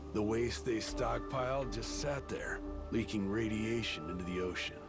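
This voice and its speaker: Game role, male actor: gruff middle-aged barkeep father, low voice, sardonic and distrustful. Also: close to an everyday voice. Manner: sardonic, grudging. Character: gruff, suspicious barkeep father, former treasure hunter. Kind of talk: English video game voice acting.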